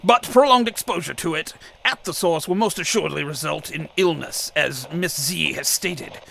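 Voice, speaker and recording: An actor playing a nervous, fussy scientist informant in a radio drama, stammering and formally precise. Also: male voice, fairly high pitch, moderate pace, gravelly, bright, forceful tone, slight background noise, low-quality recording. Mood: earnest, explaining. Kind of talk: old-time radio drama acting.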